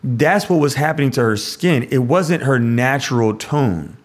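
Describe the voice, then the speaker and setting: A man's voice: medium pitch, lively intonation, unhurried. A priest hosting a show and explaining a scripture passage in a conversational way. He often restarts his sentences and adds "like" asides.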